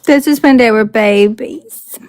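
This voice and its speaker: A woman's voice: fairly high pitch, measured pace, a crowd in the background. A mother on a live panel chat, blunt and swearing casually.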